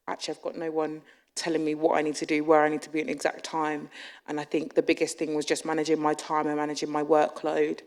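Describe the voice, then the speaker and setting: A woman's voice: deep voice, darkish tone. A young woman apprentice giving a chatty talk to an audience, with frequent ums. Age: young adult.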